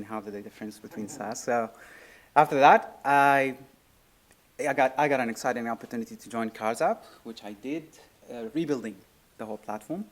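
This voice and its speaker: A man from Syria with a Syrian Arabic accent, giving an informal, light-hearted technical talk to an audience. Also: mid-range voice. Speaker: workshop co-presenter, software engineer.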